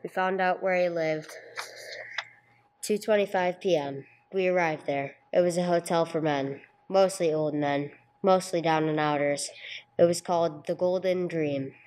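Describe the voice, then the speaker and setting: A woman's voice: on the low side, fairly even intonation, measured pace, a little mellow, slight background noise, close to an everyday voice. A woman playing a male police sergeant in an amateur radio play, terse and matter-of-fact as he narrates and questions. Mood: dry, narrating. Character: male police sergeant, businesslike, narrating his own case. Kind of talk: 1950s police radio drama acting, amateur church production.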